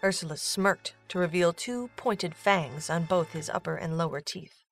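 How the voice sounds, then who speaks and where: A woman's voice: lowish voice, normal speed, creaky. A woman narrator reading a scripted story for an audio drama. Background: music.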